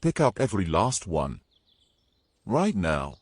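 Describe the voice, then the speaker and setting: Male actor as haughty, controlling fantasy knight: lowish voice, very animated delivery. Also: slow pace, husky, dry recording, heavily acted. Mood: threatening. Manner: pompous, formal old-fashioned phrasing. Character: domineering, pompous male knight, scornful and controlling. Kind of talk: fantasy audio drama acting.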